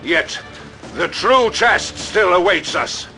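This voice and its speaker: Man acting a stern, forceful warrior lord, slow and declamatory. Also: high-pitched, expressive, soft background music, exaggerated voice. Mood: grim, rallying. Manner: solemn, declamatory, formal archaic speech. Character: stern, commanding armored warrior lord at war, grandiose and severe. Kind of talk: dramatic sci-fi war audio drama acting.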